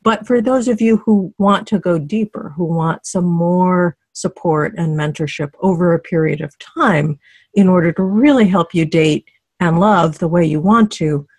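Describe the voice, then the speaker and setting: A middle-aged woman leading a dating teleclass on a video call in relaxed, encouraging talk full of fillers and restarts. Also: lowish voice, moderate pace, slightly rough, no room sound. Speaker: dating coach co-hosting a teleclass. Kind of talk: conversational teaching on a video call.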